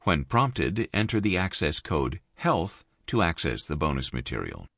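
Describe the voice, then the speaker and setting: A man reading an audiobook in a measured, expressive studio narration. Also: creaky, no echo. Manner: measured, expressive reading with wide pitch movement. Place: dry recording booth. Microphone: close studio mic.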